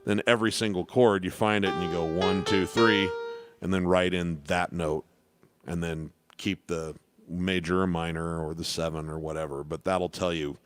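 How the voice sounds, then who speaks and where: A man with a baritone voice, teaching piano in a relaxed, rambling way during a live question-and-answer stream. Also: moderate pace. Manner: relaxed, rambling with restarts and fillers.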